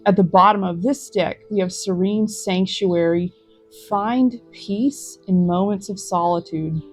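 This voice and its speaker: A woman hosting a tarot reading, talking to viewers in a gentle, reflective, encouraging way. She is recorded outdoors in a forest. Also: mid-range voice, measured pace, breathy edge, soft background music. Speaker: tarot reader.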